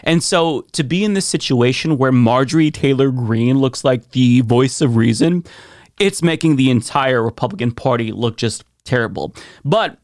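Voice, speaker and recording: A man's voice: mid-range voice, moderate pace, darkish tone, no echo. A man hosting an opinionated political commentary show, talking in a sarcastic, emphatic way into a close mic.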